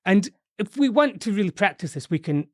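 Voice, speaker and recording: A man hosting an English pronunciation lesson, modelling sounds slowly and carefully. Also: high-pitched, big pitch swings, no room sound, clean studio sound. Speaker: English teacher and host. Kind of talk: friendly language lesson.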